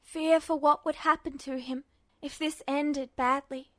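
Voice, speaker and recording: Woman, very high pitch, dramatic audiobook narration, dry recording. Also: fairly even intonation, unhurried, a bit bright, no echo. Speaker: fan fiction narrator.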